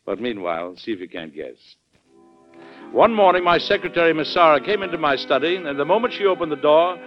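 An actor playing a genial, unflappable older American president in a folksy, chuckling old radio drama style. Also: male voice, a little high, big pitch swings, fairly quick, soft background music. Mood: folksy, confiding. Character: genial, unflappable older male US president telling his own story. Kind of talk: 1940s radio drama acting. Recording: dull old radio broadcast recording.

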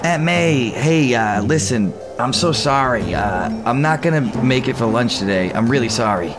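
Audio drama lead: awkward young man, voiced by a male actor, polite and halting, lively medium-pitched voice. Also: over music, close to an everyday voice. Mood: apologetic. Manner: hesitant, stammering.

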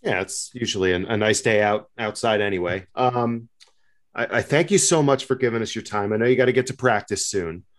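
A man's voice: on the low side, brisk. A man hosting a casual interview, speaking politely and conversationally. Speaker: podcast host.

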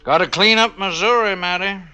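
An actor playing a bold, goading American sheriff in an old-time radio western, with a folksy, insistent way of talking. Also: male voice, sits high, normal speed, bright, forceful tone, clean tone. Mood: firm, pressing. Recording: dull vintage radio recording, faint music.

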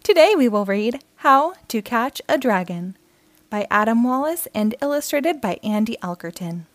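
Woman, storytime host, higher voice, expressive picture-book narration, measured delivery, dry sound. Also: no echo. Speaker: storytime host reading children's books aloud. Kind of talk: children's picture book read-aloud.

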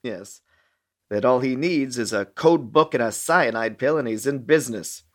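Male actor as middle-aged American bureaucrat, radio play, mid-range pitch, fussy, dry, chatty, officious. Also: normal speed, close to an everyday voice. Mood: officious, explaining. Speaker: actor in audio drama.

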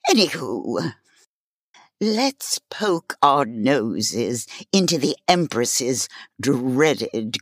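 An actress playing a self-important lead narrator in a comic fantasy audio drama, pompous and theatrical. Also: female voice, very deep voice, no echo, clean studio sound, exaggerated voice. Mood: theatrical narrating.

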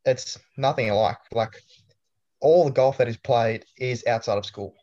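A man's voice: mid-range voice, normal speed, mellow, highs cut off. A young Australian man in his twenties, chatting casually as an interviewee with a laid-back, storytelling manner and dry humor. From New South Wales, Australia. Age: 20s.

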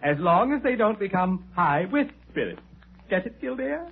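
An actor playing a pompous, fussy and effusive male hospital administrator in a classic radio comedy-drama, with a husky voice. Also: high-pitched, sing-song, normal speed, exaggerated voice. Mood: jovial. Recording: thin narrow-band old radio recording.

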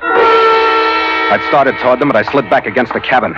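An actor playing a tough, sardonic ship's skipper in an old radio adventure, with clipped delivery. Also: male voice, mid-range voice, huge pitch swings, normal speed. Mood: grim narrating. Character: hard-boiled, wry ship's skipper and adventurer. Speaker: lead actor and first-person narrator. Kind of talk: 1940s radio adventure acting and narration. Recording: old muffled radio recording with music.

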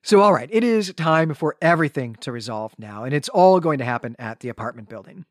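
A man with a slightly high voice co-hosts a casual book discussion in a dry, close-sounding space. He recaps in a conversational way, often adding little tag questions as he goes. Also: lively intonation, fairly quick, no echo. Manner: conversational, frequent "right?" tags. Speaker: podcast co-host.

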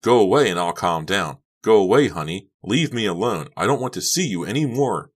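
Man playing a furious teenage girl, raised pitch. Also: expressive, moderate pace, bright, forceful tone, exaggerated voice. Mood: enraged. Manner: tense, through clenched teeth, shrieking. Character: angry, wounded, overwrought teenage girl.

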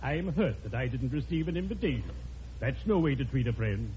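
An actor playing a morbid, mock-dignified undertaker in a classic radio comedy, speaking in a formal, droll, deliberate way. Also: male voice, medium pitch, lively intonation, dark tone, close to an everyday voice. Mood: mock offended. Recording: dull old radio recording, audience, noise.

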